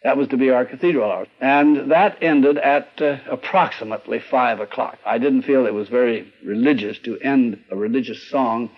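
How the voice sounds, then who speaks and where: An older American man recalling his working days as a radio conductor, telling it in a halting, deliberate way. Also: mid-range voice, husky. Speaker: radio orchestra conductor recalling early broadcasts. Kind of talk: reminiscing, interview-like recollection. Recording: slightly dull archival radio recording.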